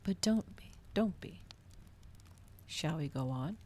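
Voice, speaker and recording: A woman reading the part of a reflective, world-weary male vampire in a storybook, in measured, brooding tones. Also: sits low, expressive, mellow, a little hiss or hum. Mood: calm warning.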